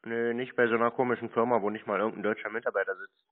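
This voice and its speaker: A man on a dry, tinny phone line, with a mid-pitched voice. He mocks and insults the person on the other end, pushing the same questions again and again. The sound is close, with no room, and narrow. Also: fairly even intonation, rapid-fire, dry recording. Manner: mocking, insulting, persistent. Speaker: scam-baiting caller. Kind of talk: confrontational phone call.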